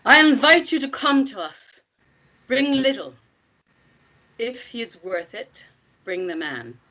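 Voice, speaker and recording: An imperious, mysterious woman who leads a cult played by a female reader. She delivers her lines very slowly at a table read. Her intonation swings widely, with a bright, commanding edge. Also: a little high, very animated, full character voice, rough recording.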